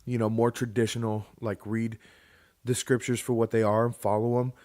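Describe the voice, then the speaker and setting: A man's voice: medium pitch, flat delivery, normal speed, a little mellow. Rambling male podcast co-host. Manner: rambling, many restarts and fillers. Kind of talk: casual chat.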